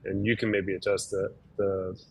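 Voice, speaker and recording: A slightly hoarse man, a doctor, explaining things in an easygoing way with lots of fillers during a casual webinar chat. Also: low-pitched, level tone, moderate pace, slight background noise.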